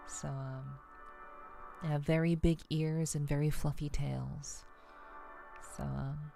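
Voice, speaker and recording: A woman livestreamer chatting casually to viewers in an ASMR style, with a soothing voice and a relaxed, halting, unhurried delivery. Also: low-pitched, gravelly. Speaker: VTuber livestream host.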